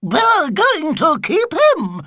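A man voicing a trio of clingy elderly women fans with shrill, screechy voices, speaking slowly. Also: huge pitch swings, pushed and bright, no room sound, studio quality, heavily acted. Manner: screechy high slow delivery.

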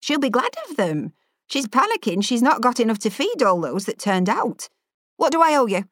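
A woman voicing a sharp-tongued elderly former headmistress who speaks bluntly and scolds. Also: on the high side, very animated, moderate pace, no room sound, studio quality, voice pushed up.

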